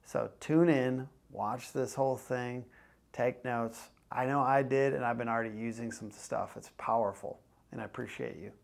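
A man's voice: mid-range voice, steady tone, moderate pace, creaky. A man at a desk introducing a video to camera in an upbeat, casual way. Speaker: agency host. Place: small studio office. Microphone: lapel.

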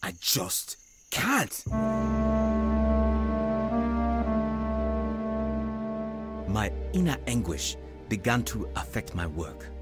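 An earnest male African evangelist of almost forty in a radio drama. His voice sits on the low side, with music under it. He speaks in a measured, confessional way, like someone unsure of himself but sincere. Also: full character voice. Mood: pained, confessional. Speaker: narrator and lead character in an audio drama. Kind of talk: dramatised first-person testimony, audio drama acting.

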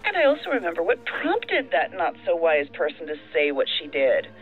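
A woman's voice: fairly low pitch, huge pitch swings, moderate pace, faint music behind. A woman playing a tense, stubborn federal agent in an audio drama, exasperated, through a phone filter. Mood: exasperated, defensive. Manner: exasperated, defensive. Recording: tinny, narrow-band phone line.

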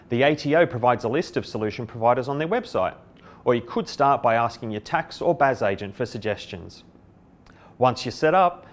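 A middle-aged man with an Australian accent, delivering a scripted explainer to camera as a company presenter. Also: medium pitch. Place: indoor office by a window. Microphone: lapel mic.

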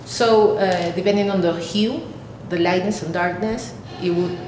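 A woman in her thirties from Peru with a Peruvian Spanish accent, an artist explaining her work haltingly, searching for words. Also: steady tone. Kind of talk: explaining own artwork to camera. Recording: echoey room, clear rumbling background noise.

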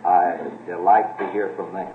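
A man with a fairly low voice addresses a church as its pastor. He is earnest, wordy and deferential in an informal way. The old recording is echoey and phone-thin, with some noise underneath. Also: flat delivery, normal speed. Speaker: pastor introducing a guest preacher. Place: church meeting room with congregation. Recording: muffled noisy old tape, echoey hall.

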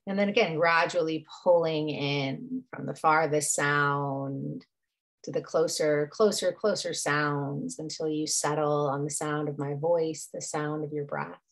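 A woman guiding a meditation as a yoga nidra teacher, soothing and unhurried, leaving long pauses between phrases. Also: deep voice, fairly even intonation, creaky. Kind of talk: guided meditation.

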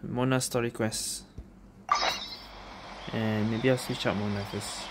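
A woman's voice: rumbling low, steady tone, slow pace, very noisy. A woman giving casual, off-the-cuff commentary as she streams a game. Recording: loud game music behind voice.

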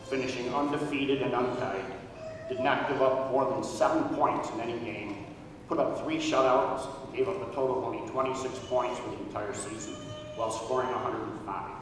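A man's voice: medium pitch, background noise. Middle-aged guy reading an earnest speech at a podium, big echoey hall, mic far off. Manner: earnest, formal tribute. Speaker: speaker at a hall of fame induction. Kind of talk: prepared speech read at a podium. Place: large auditorium stage. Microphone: podium microphone, recorded from far.

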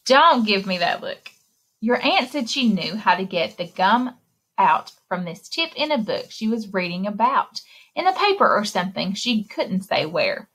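A woman in her thirties reading a picture book aloud to children in an expressive, exclaiming way, speaking directly to her young listeners, with a slightly husky voice. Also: mid-range voice, low-quality recording. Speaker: children's librarian and story time reader. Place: indoor room, recorded screen share with webcam.

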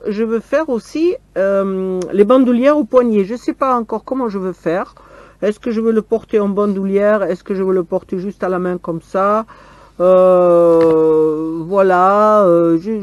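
A woman casually showing her crochet work step by step, often pausing on "voilà", talking from a camper van table with the camera on her hands. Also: medium pitch, darkish tone, dry recording. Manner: slow, step-by-step, fond of "voilà". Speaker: crochet vlogger. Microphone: camera filming her hands from close.

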